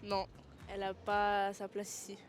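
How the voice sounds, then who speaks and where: A woman's voice: mid-range voice, completely flat delivery, moderate pace, a little hiss or hum. A woman passerby giving curt, dismissive answers in a street interview. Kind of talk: casual street interview opinion.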